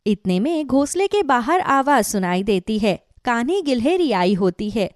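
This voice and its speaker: A woman's voice: a little high, sing-song, unhurried, dry recording, studio quality. A woman narrating a scripted children's story.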